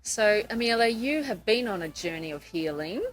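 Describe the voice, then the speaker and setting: A woman's voice: medium pitch, lively intonation, moderate pace, faint music behind, poor audio. A woman hosting a podcast, interviewing guests in a conversational way. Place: couch in a room in Nakasi.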